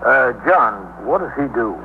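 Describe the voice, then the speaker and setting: A man playing a calm, commanding police captain in 1950s radio drama style, giving brisk, authoritative orders. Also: mid-range voice, vocal fry. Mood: calm, measured. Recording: tinny, hissy 1950s radio broadcast.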